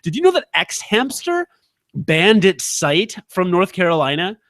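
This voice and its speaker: A man with a slightly high voice, talking fast and jokey as a podcast co-host. His voice is close and dry, with no room sound at all. He rants in an excitable, rambling way. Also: dry recording. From Illinois. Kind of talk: casual chat.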